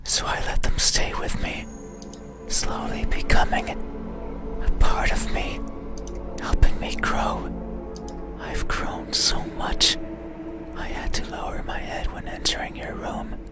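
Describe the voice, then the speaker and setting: A man playing an eerie midnight monster in a horror game, speaking poetically in a breathy whisper. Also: over loud music, close to an everyday voice. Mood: sorrowful, creepy. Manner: slow, deliberate, poetic. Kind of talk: horror visual novel monologue.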